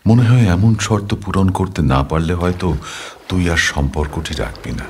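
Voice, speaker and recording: A man's voice: sits low, expressive, a little fast. A man performing an audio story as a restless young man writing a love letter, reflective and questioning, with music behind him. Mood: anxious, wistful. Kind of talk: dramatic audio story narration.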